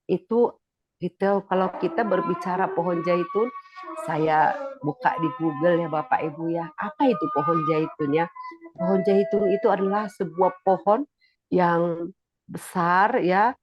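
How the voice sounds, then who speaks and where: A preacher leads a prayer and then explains a scripture passage, voice stuffy and nasal from a lingering cold. When making a point, the pitch lifts and the delivery grows louder. The recording sounds muffled, with clear music playing underneath. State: stuffy nose, recovering from illness. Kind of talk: sermon.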